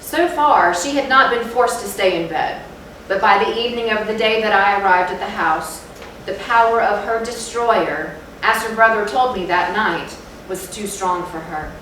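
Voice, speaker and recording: A woman giving a measured, solemn dramatic narration of a gothic story in a stage reading. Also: medium pitch, fairly bright tone, lightly acted. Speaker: narrator in a readers' theatre. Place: live theatre stage, recorded from afar. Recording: very echoey, distant, noisy hall.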